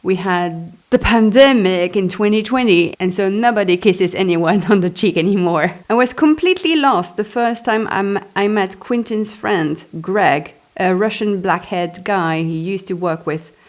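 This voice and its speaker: A woman from Belgium, a native French speaker with a Belgian French accent, reads her own memoir aloud in a chatty, expressive way with spontaneous asides. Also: medium pitch, normal speed, hardly any pauses. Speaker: author reading own book.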